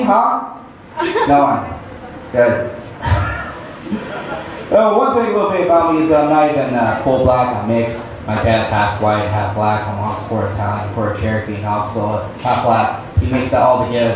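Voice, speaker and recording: A man's voice: mid-range voice, fairly quick. A young man performing a stand-up comedy set on a club stage, with an awkward, rambling, self-deprecating delivery. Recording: echoey club room, distant mic, background noise.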